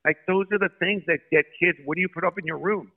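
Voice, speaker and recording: Guest: brisk New man, quick talker, tinny phone-line sound. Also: sits high. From Brooklyn, New York. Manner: brisk, animated storyteller. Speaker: sports marketing guest. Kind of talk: podcast interview.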